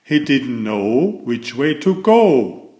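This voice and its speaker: Slow, lively male storyteller in echoey room. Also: mid-range voice. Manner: expressive, deliberate, simple storybook delivery. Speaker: storyteller reading a picture book. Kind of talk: bedtime story read-aloud.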